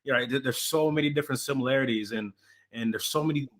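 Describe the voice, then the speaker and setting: A man's voice: mid-range voice, quick pace, fairly bright tone. A man from Congo hosting a podcast, talking casually and supportively, often repeating a word as he starts a thought. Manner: casual and affirming, repeats words when starting. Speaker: podcast host. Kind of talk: casual conversational interview.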